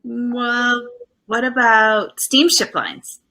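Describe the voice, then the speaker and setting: An actress playing a detective's loyal, insistent wife in 1940s radio style, emphatic and pleading. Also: female voice, a little high, steady tone, very slow, pushed and bright, full character voice, low-quality recording. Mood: urgent, insistent.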